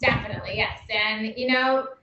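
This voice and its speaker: Young female spokesperson, earnest talking points, slightly high, echoey call audio. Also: fairly even intonation, a little fast, bright, forceful tone, muffled recording. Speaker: communications director of an advocacy group. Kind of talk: interview answers. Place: indoor room. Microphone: video call. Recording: echoey video call, faint hiss.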